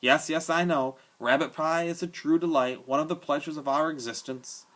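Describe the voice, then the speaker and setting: A man voicing an enormous, bearded, gentle drifter who speaks in a formal, genteel and playful way. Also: a little high, steady tone, normal speed, pitched above the performer's own voice, natural voice. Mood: genial.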